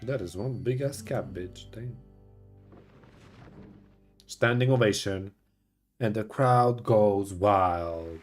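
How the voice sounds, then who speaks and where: A man's voice: lowish voice, slow pace, long pauses. A man streaming a video game with casual live reactions, slangy, exclamatory and sweary. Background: game music.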